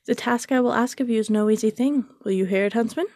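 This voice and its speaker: A woman reading as a cruel sorceress queen in a storybook reading, haughty and mocking as she gives orders. The voice is dark and mellow and sits close and dry, with no room around it. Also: a little high, fast, no room sound, voice pushed up. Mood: imperious.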